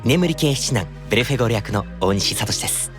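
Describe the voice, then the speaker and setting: A man chats as a radio show guest. He keeps replies short and playful, often echoing in mock-polite agreement, with a lively pitch range. Faint music is behind him. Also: brisk.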